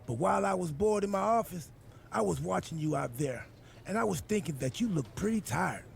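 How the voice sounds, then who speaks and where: An actor playing a quirky, self-important general manager in a wrestling video game, rambling and absurdly literal in a gravelly voice. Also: male voice, animated, a little fast, slight background noise, heavily acted. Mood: cheerfully oblivious. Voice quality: gravelly, high husky voice.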